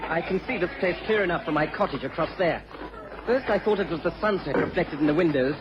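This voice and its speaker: A man's voice: on the high side, lively intonation, fairly quick, lightly acted. A man playing an emphatic, plain-spoken village neighbour in a radio drama. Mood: weary, obliging. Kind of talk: BBC radio drama acting. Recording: dull old radio recording.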